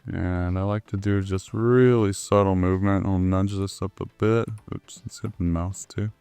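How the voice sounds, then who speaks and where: A man with a hoarse voice, recovering from the flu, explaining his animation work step by step with many ums and uhs. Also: low voice, fairly even intonation, moderate pace, a little mellow, dry recording, faint music behind. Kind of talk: screen-recorded tutorial walkthrough.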